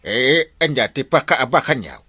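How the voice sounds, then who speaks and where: A flustered Ilocano village captain in a comedy radio play. He stammers and whines, breaking into wailing exclamations, his pitch leaping wildly. The sound is close and dry. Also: very animated, measured pace, bright, forceful tone, slightly rough, no echo, heavily acted. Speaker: comic radio drama actor. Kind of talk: broad comedy radio drama acting.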